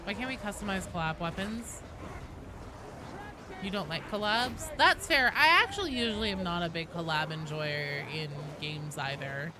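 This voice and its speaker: A woman's voice: fairly low pitch, expressive, moderate pace, background noise. A woman live streamer chatting casually and wryly with her viewers while she plays a game. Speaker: live streamer hosting gameplay.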